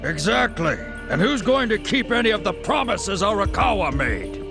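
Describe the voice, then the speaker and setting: A resentful yakuza underling in a video game drama. His high voice has a gravelly edge and an indignant, demanding tone, with music behind it. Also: sing-song, moderate pace, heavily acted.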